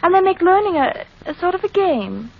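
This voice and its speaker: An actress playing a cool, persuasive, discontented wife in an old-time radio drama. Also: female voice, very high voice, big pitch swings, moderate pace, full character voice. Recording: dull old radio recording.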